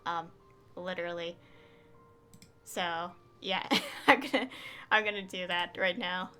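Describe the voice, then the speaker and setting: A woman's voice: fairly low pitch, fairly even intonation, a little slow, pushed and bright, smooth. A woman chatting casually on a gameplay livestream, rambling and hesitant as she thinks aloud. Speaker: game streamer.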